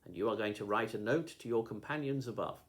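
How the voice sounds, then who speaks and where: A man's voice: on the low side, fast, natural voice. A man reading a storybook, voicing a cold, threatening adult male kidnapper. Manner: cold, commanding.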